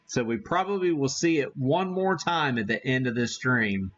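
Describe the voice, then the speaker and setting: A man hosting a live hobby stream, giving a casual demo and thinking aloud in a halting way. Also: medium pitch, expressive, fairly bright tone, lo-fi sound, a little hiss or hum. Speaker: ham radio live stream host.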